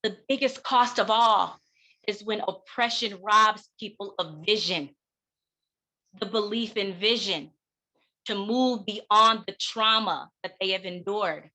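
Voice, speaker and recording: A woman speaks as a keynote presenter at a middle pitch, with a bright, forceful tone. She is deliberate and emphatic, setting key words apart with dramatic pauses. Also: rough recording. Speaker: keynote speaker, counseling clinician. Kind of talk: keynote speech.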